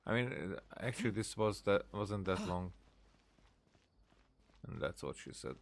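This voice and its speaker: A man giving casual live game commentary as a streamer, halting and searching for his words. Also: low voice, expressive, gravelly.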